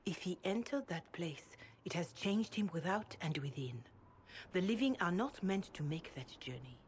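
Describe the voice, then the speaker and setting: A woman playing an older, pious holy woman in a video game, speaking gravely and gently in measured, formal phrases. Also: on the low side, lively intonation, a little hiss or hum, close to an everyday voice. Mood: solemn, grave. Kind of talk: dramatic video game dialogue.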